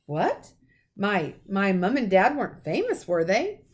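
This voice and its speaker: A woman's voice: mid-range voice, huge pitch swings, measured pace. Lady doing a polite little boy from a storybook, kinda timid, puzzled, asks stuff hesitantly. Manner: hesitant, questioning.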